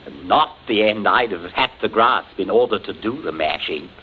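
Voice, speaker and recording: Wry military captain played by a man, medium pitch, very animated, mock-polite and sardonic, vintage muffled radio drama. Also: moderate pace, close to an everyday voice. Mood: pointed. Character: wry, unflappable captain calmly turning accusations back. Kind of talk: old-time radio suspense acting. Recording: muffled, hissy old radio recording.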